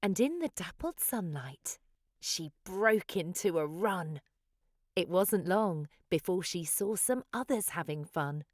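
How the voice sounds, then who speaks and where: Expressive, slow-paced woman storyteller. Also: lowish voice, dry recording, studio quality. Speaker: children's story reader. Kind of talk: expressive picture-book read-aloud.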